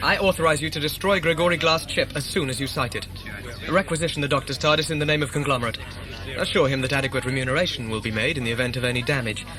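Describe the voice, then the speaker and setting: An actor playing a cold, ruthless thinking-machine ship commander in a science fiction audio drama, formal and unemotional. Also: male voice, mid-range voice, quick pace, background noise, natural voice. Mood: formal, commanding.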